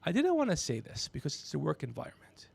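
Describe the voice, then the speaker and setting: A man's voice: fairly high pitch, wildly swooping pitch, fast talker, creaky, breathy edge. A man in his fifties hosting a casual comedy livestream, rambling, teasing and swearing as he talks. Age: 50s. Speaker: podcast host.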